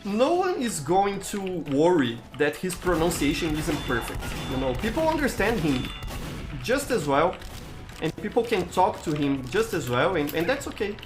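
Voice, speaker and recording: Casting: male game streamer, Brazilian accent, Portuguese native, animated intonation, stammering casual delivery, music underneath. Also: high-pitched. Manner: halting, stammers and repeats words. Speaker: live-streamer hosting gameplay. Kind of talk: casual live-stream chat while gaming.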